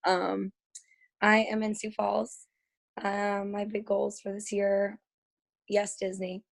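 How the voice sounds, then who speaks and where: A hoarse woman speaks on a team video call, her voice raspy and gravelly. She talks casually and pokes a little fun at herself. The sound is dry and close. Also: mid-range voice, monotone, takes it slowly, no echo. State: hoarse after working a night shift.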